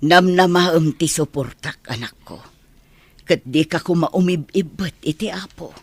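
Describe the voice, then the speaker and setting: A woman's voice: on the low side, unhurried, slightly rough, no echo. A woman playing a concerned, supportive parent consoling a grown child in an Ilocano radio drama. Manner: earnest, consoling.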